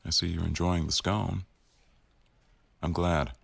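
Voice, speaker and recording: A man's voice: low voice, steady tone, measured pace, gravelly, a bit bright, slightly rough, no echo, full character voice. A man playing a stern, teasing office boss in a boyfriend roleplay audio, speaking in a wry, formal way. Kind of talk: boyfriend ASMR roleplay acting.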